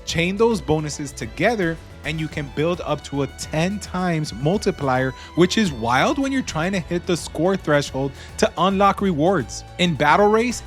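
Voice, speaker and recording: Higher-voiced man narrating over music. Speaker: gaming video host. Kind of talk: casual game review voice-over, part scripted, part off the cuff.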